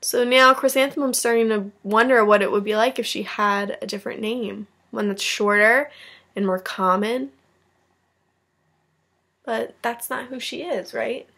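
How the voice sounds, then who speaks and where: A woman's voice: a little high, a little slow, gravelly, a bit bright. A woman teacher reading a picture book aloud expressively, with casual asides to her class.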